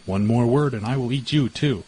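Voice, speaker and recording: A man voicing a sly, greedy female cat in a storybook, smooth-talking and coolly deceitful. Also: medium pitch, moderate pace, warm tone, natural voice. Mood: threatening. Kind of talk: storybook character voice.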